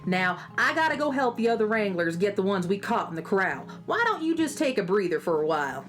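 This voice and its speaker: A woman's voice: medium pitch, very animated, a little fast, a bit bright, soft background music. A woman playing a kindly, teasing cowgirl ranch boss in a children's radio drama, talking in folksy cowboy slang. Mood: teasing, reassuring.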